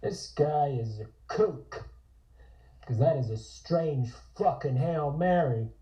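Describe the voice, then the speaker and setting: A man's voice: a little high, lively intonation, slow, mellow, roomy sound, lo-fi sound. A man playing a cocky, foul-mouthed gunman in an online horror drama reading. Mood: cocky, mocking. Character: cocky, foul-mouthed male gunman turning agonised and panicked.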